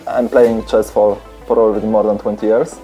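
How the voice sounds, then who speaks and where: A man in his twenties, a chess player telling in a relaxed, chatty way how his career began. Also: on the low side, fairly quick, faint music behind. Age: 20s. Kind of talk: documentary interview.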